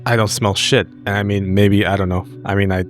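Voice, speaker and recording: A man with a fairly low voice plays a tabletop roleplaying game. He phrases things casually and deliberately, swears a little, and has music running beneath him. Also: little pitch movement. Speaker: tabletop roleplay podcast player. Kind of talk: player narrating his character's actions.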